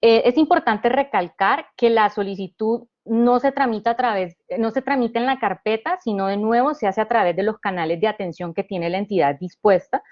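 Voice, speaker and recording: A woman from Honduras giving an explanatory presentation as a panelist. She talks through each point step by step, with restarts and "eh" pauses. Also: fairly high pitch, lo-fi sound. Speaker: panelist presenting a government digital service.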